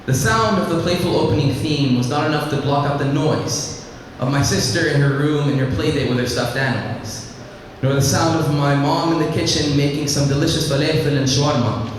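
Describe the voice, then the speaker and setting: A teenage boy from Iraq, raised in Lebanon, giving an earnest personal speech to an audience and telling his story at a measured pace. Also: fairly high pitch, slight background noise. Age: teens. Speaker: student speaker. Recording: echoey hall, mic at a distance.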